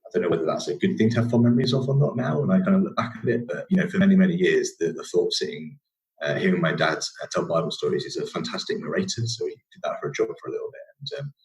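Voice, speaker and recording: Very deep-voiced northern English man. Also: expressive, fast, a little mellow, low-quality recording. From England. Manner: rambling, many fillers and restarts. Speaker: podcast guest. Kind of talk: casual conversational storytelling.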